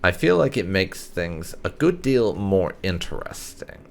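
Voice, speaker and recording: A man doing casual playthrough commentary as a gaming host, musing aloud in an unhurried way as he plays. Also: sits low, big pitch swings, creaky.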